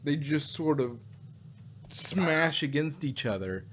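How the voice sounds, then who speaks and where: A man chatting casually about stories in a rambling, halting way, often repeating words as he works out a thought. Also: fairly high pitch, lively intonation. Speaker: podcast host reviewing audio dramas. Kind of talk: casual chat, fan speculation. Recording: noticeable background noise.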